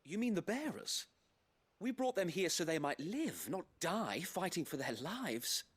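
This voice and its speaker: An actor playing a dutiful, courteous but burdened guard captain in a fantasy video game, formal and articulate with an English accent. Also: male voice, high voice, very animated, measured pace, breathy edge, exaggerated voice. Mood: troubled. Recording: music behind the voice.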